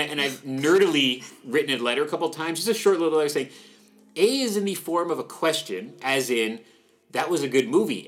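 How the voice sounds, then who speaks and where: A man with a Canadian accent chatting casually as a podcast co-host, animated and playfully nerdy. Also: medium pitch. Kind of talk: casual chat.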